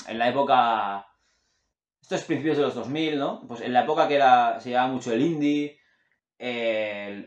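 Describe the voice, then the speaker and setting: A man's voice: medium pitch, highs cut off. Chatty man in an echoey room. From Catalonia, Spain. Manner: rambling, enthusiastic, self-correcting, gestures with his hands. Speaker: host recommending music. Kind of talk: casual chat. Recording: roomy echo in a home room.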